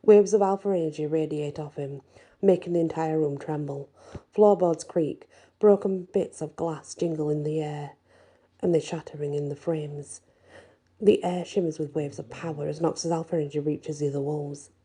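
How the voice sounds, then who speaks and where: A woman narrating a first-person fantasy story in a soft, husky, breathy ASMR style, with expressive delivery. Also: deep voice, normal speed. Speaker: storyteller reading a fantasy audiobook.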